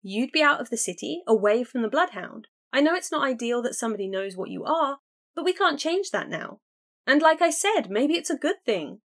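A woman's voice: high voice, very animated, brisk, voice pushed up, exaggerated voice. A woman reading an audiobook, voicing an eager young woman who urgently presses her sister to take a chance. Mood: eager pleading. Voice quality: bright, urgent and persuasive. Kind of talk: audiobook character voice.

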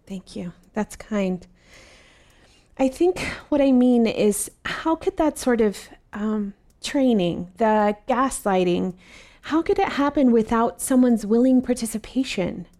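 A young female student in a fiction podcast. Her voice is fairly high but soft-edged and mellow, and she speaks hesitantly, with a polite, self-effacing deference. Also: slow. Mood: hesitant, awkward. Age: young adult. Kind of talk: fiction podcast audio drama acting.

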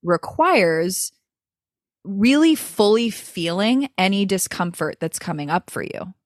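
A woman's voice: mid-range voice, animated, slow, no echo, clean studio sound. A woman voice coach speaking as a guest in an interview, talking in a thoughtful, flowing way with frequent "like" and "you know" fillers. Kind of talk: reflective interview talk.

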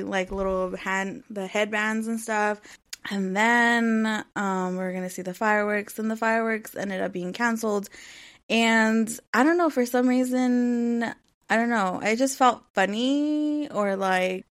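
A woman's voice: medium pitch, clear, resonant tone. A woman in her thirties hosting her own podcast, chatting casually and rambling, with lots of "um" and "like.". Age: 30s. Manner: rambling, heavy with "um" and "like". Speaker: podcast host. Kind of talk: casual solo podcast chat.

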